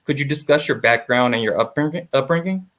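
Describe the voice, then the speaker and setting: A man's voice: mid-range voice, fairly quick, fairly bright tone. A young adult man interviewing a guest, reading a scripted introduction and then asking friendly questions with casual fillers. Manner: friendly, uses fillers like "awesome" and "like". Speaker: interviewer, associate producer.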